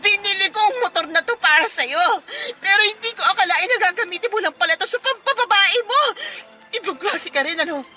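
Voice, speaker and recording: A woman's voice: extremely high pitch, expressive, a little fast, over music. A woman playing a jealous, furious young girlfriend in a melodramatic radio drama, confrontational, sarcastic and tearful.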